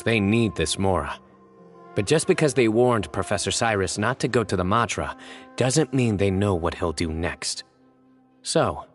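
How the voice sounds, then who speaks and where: An actor voicing a stern young male judge in a game, calm and deductive in a measured way. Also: low-pitched.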